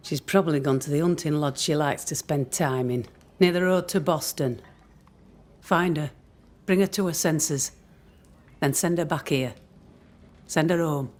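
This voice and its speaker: A woman's voice: sits low, measured pace, pauses often, darkish tone. A woman playing a stern, resentful leader of colonial settlers, curt and commanding in archaic phrasing. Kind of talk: dramatic game dialogue.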